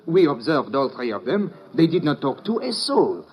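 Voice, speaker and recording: Flustered sidekick, very animated, formal, muffled noisy old radio play. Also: male voice, a little high, normal speed. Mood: puzzled. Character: excitable, puzzled Frenchman, the agent's inquisitive colleague. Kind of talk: 1950s radio drama acting.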